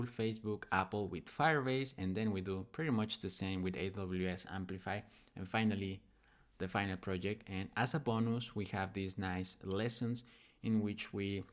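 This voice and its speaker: A man with a fairly low voice hosts a programming tutorial. He talks casually and informally, thinking aloud as he demonstrates each step. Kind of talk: casual screen-recording software tutorial.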